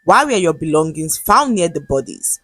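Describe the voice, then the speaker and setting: A stern police interrogator, voiced by a woman, speaks formally and accusingly in a folktale. The delivery is husky and pointed, close and dry. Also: deep voice, very animated, normal speed, a bit bright, no echo, faint music behind, full character voice. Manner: formal, pressing. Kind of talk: dramatic storytelling dialogue.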